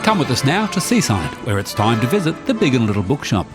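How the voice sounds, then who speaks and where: Male radio promo announcer: medium pitch, lively delivery, music bed behind. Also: fast talker. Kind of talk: mock-epic scripted radio promo narration.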